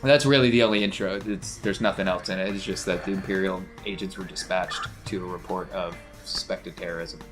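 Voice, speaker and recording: A man's voice: low voice, steady tone, a little fast, few pauses, fairly bright tone, soft background music. A man running a tabletop game as game master, narrating off the cuff in a conversational, descriptive way.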